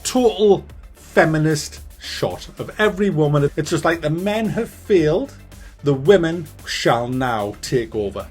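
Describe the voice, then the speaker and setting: Profane ranting man, high-pitched. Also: measured pace, husky, faint music behind. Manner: animated, profane, sarcastic ranting. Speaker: movie reviewer. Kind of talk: ranting movie review.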